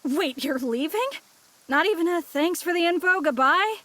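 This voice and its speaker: A woman's voice: extremely high pitch, animated, normal speed, sharp tone, exaggerated voice. A woman playing a brash young forest ranger in a fantasy game, indignant, wary and confrontational. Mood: exasperated. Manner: confrontational, challenging. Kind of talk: dramatic game voice acting.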